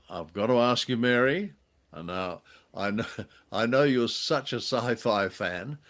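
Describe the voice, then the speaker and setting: A man's voice: medium pitch, a bit bright. A man co-hosting a podcast, reviewing conversationally in a hesitant, deliberating way. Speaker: podcast co-host. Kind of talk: conversational review of old radio drama.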